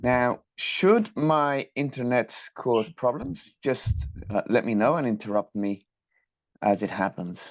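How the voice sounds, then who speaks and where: A man from Colombia, giving a measured, polite academic lecture over a video call. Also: medium pitch, lively intonation, no echo. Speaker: guest lecturer, structural engineer. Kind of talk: online lecture presentation. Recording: dull, dry video call audio.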